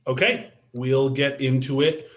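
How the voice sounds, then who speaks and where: A man chairing a city council meeting, moving briskly through the agenda with light asides. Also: medium pitch, level tone, a bit bright.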